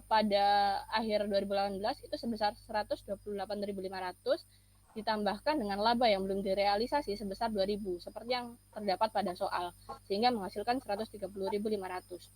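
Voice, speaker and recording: A young woman explains slides as a student presenter, with hesitant, halting phrasing. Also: mid-range voice, no echo, low-quality recording. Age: young adult.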